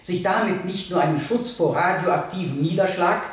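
An actor in a radio play voicing a stern, impassioned male prosecutor who addresses a jury in formal, emphatic oratory with deliberate pauses. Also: high voice, lightly acted. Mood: impassioned, accusing. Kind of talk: 1970 German radio play, courtroom oratory. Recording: echoey courtroom sound, distant from mic.

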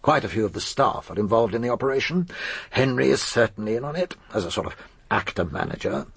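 An actor playing a shrewd, bulky chief inspector in a British radio drama, with an English accent and a gruff, knowing manner. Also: male voice, mid-range voice, lively intonation, fast talker, fairly bright tone, no echo, full character voice. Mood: matter-of-fact, assured. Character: shrewd, bulky male police chief inspector, blunt and assured.

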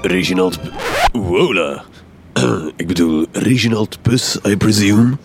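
An actor playing a pompous, self-assured Flemish detective in a comic gothic radio drama, with theatrical diction. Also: male voice, sits low, slow, over loud music. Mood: businesslike.

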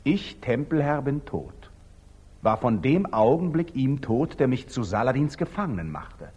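An actor playing a passionate young Knight Templar in intense, introspective declamation, in the style of 1940s radio drama. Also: male voice, medium pitch, big pitch swings, unhurried, mellow, slightly rough. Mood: brooding, resolute. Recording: aged 1940s radio recording.

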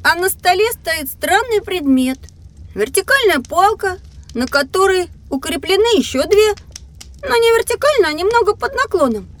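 A woman's voice: extremely high pitch, very animated, moderate pace. A woman voicing a curious, cocky young boy in an educational audio drama, speaking in an eager, emphatic way.

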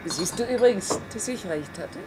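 A woman's voice: mid-range voice, expressive, moderate pace, over a noisy crowd, lightly acted, poor audio. A woman playing a sardonic, self-assured senior prosecutor in a radio play, with a wry way of talking. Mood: wry, teasing. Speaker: radio drama actress. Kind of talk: German radio play acting.

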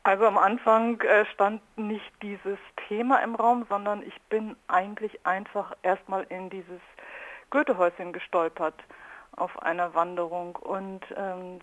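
A woman speaks as an artist being interviewed, at a medium pitch. She is reflective and spontaneous, building her thoughts aloud clause by clause. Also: muffled recording. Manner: reflective, thinks aloud in loosely linked clauses.

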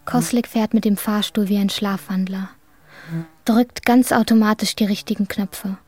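A woman's voice: medium pitch, fairly even intonation, normal speed, darkish tone, no room sound, close to an everyday voice. A woman narrating a literary radio drama in a sober, detached manner. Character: detached, sober female storyteller.

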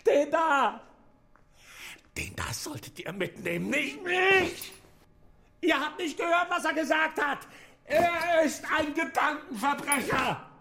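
Frantic high-voiced prisoner. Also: male voice, lively intonation, takes it slowly, vocal fry, sharp tone, heavily acted. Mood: frantic pleading. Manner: frantic, pleading, shrill outbursts. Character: panicking male prisoner, loyal party man, pleading desperately. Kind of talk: German radio drama acting.

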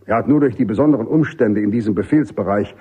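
Commanding, genial army general, slightly husky. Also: male voice, fairly low pitch, fast, narrow, tinny audio. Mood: firm, insistent. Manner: jovial, authoritative, emphatic. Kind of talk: German radio drama acting.

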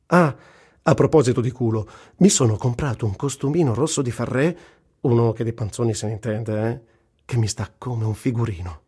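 A man's voice: mid-range voice, moderate pace, mellow, husky, natural voice. An actor reciting as a wry, earthy, self-mocking male war journalist, in a dramatic literary radio-theatre reading. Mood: amused, self-mocking. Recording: voice over prominent music. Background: music.

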